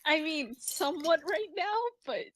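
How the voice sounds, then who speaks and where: High-pitched young-sounding woman chatting. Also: lively intonation, unhurried, fairly bright tone. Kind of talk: casual chat in a group voice call before a recording.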